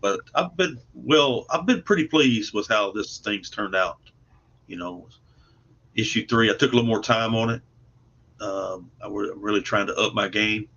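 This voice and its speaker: Male interview guest, lower register, earnest and folksy, casual delivery, dull online-call recording. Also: fairly bright tone. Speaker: guest, comic book artist and writer.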